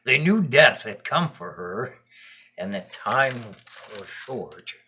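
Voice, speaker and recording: A man narrating a bedtime story in an expressive, dramatic and deliberate way. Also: medium pitch, slight background noise.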